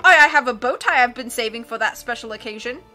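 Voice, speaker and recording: A woman reading aloud and voicing a blustering male sea captain who booms and keeps saying "aye". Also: animated, fast, bright, forceful tone, faint music behind. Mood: boisterous. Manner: bellowing, peppers speech with "aye".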